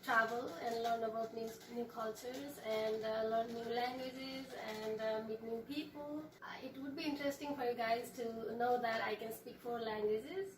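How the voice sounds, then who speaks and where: Young woman from Nepal, fairly high voice. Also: steady tone, music in the background. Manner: measured, hesitant, with frequent fillers. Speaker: guest. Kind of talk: casual interview, explaining her culture. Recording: echoey room, voice from a distance.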